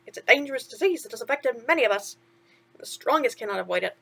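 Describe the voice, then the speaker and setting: Woman playing a little storybook mouse fellow, high voice, brash pep-talker, bossy yet encouraging, punchy slangy phrasing. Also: expressive, fast talker, creaky, sharp tone, slightly rough, heavily acted. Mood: knowing, emphatic. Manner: slangy, emphatic, punchy delivery. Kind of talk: children's storybook character reading.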